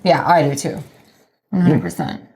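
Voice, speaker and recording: A woman's voice: deep voice, steady tone, moderate pace, gravelly, dark tone. A woman hosting a podcast in casual conversation, informal, exclaiming and freely swearing. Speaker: podcast host. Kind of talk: casual chat, drink tasting and interview.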